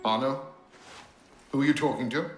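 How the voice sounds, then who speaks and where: An actor playing a stern older gentleman guardian in a video game, authoritative and dryly exasperated. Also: medium pitch, fairly even intonation, moderate pace, faint music behind, close to an everyday voice. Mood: dryly commanding. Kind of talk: dramatic video game voice acting.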